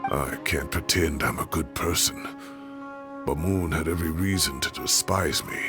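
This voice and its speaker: A man's voice: extremely low pitch, normal speed, over music. An actor in a video game playing an old male professor, fond and wry, who teases and rambles in a playful, wistful way. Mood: hesitant, remorseful. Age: older. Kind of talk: English video game voice acting.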